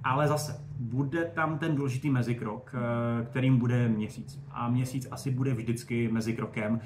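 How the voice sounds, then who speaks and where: Man, medium pitch, expert interview guest, hesitant self-correcting delivery, echoey room, faint background noise. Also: steady tone. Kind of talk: conversational interview answers.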